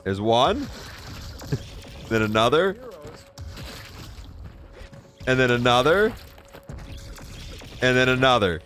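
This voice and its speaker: A man's voice: mid-range voice, big pitch swings, measured pace, fairly bright tone, a little hiss or hum. A man hosting a gaming video with casual, playful showcase commentary.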